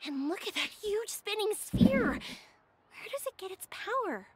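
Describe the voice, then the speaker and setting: A woman voicing an excitable, cheerful young woman in a game. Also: extremely high pitch, a little slow, music in the background, full character voice. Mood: amazed. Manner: excitable, wide-eyed exclamations.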